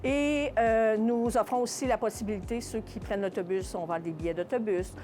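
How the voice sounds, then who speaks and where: A woman with a Quebec French accent giving casual interview answers as a shop owner, haltingly searching for her words. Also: fairly high pitch, mellow, a little hiss or hum. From Contrecœur, Quebec, Canada. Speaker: small business owner being interviewed.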